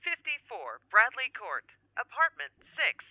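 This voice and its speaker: A woman's voice: a little high, wildly swooping pitch, unhurried, dry recording, exaggerated voice. Dull telephone-line automated operator, acted by a woman. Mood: impersonal, flat. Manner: clipped, formal, mechanical phrasing. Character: impersonal automated telephone directory operator machine. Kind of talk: audio drama acting.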